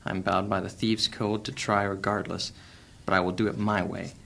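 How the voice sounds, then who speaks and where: A man playing a gravelly, cunning thief. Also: sits low, flat delivery, moderate pace, sharp tone, a little hiss or hum, full character voice. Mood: scheming. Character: wry male thief, guarded and cunning.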